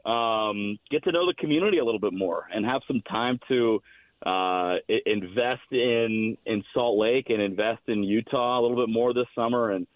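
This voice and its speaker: A man's voice: on the low side, normal speed, dry recording, phone-quality sound. A man who works as a hockey radio announcer, chatting as an interview guest in an enthusiastic, self-deprecating, joking way. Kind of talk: casual radio interview chat.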